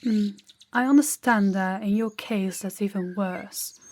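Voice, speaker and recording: A woman playing a proud, guarded young princess in an intimate audio roleplay, speaking in a measured, formal, slightly awkward way to the listener. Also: mid-range voice, a little mellow, slight background noise, natural voice. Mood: sympathetic, candid.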